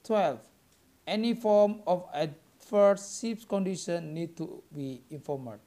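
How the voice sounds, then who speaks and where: A young man with an Indonesian accent reads a scripted student presentation aloud, halting word by word. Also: high voice, mellow. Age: young adult.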